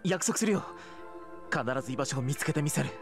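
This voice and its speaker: A troubled young man from a visual novel, speaking quickly and earnestly. He sounds like a lonely student, with clear music underneath. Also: on the high side, animated. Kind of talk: Japanese visual novel voice acting.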